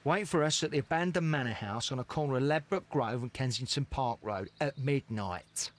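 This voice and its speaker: A man's voice: medium pitch, normal speed, slight background noise, lightly acted. An actor playing a suspicious, bossy criminal gang leader in a video game, curt and menacing as he gives orders. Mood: bossy, conspiratorial. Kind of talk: scripted video game acting.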